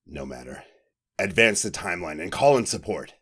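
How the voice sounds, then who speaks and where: Very deep, stern Jedi commander, acted by a man. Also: expressive, a bit bright, husky, heavily acted. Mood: cold, menacing. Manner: measured, formal, authoritative.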